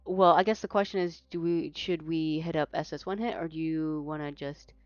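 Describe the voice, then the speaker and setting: A woman with a low voice, a native Mandarin speaker, chatting casually as a tournament caster. She rambles and corrects herself often. The sound is dry and a little dull, like a lo-fi home mic. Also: steady tone, normal speed, no echo. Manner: rambling, self-correcting, frequent fillers. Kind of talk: casual post-match chat.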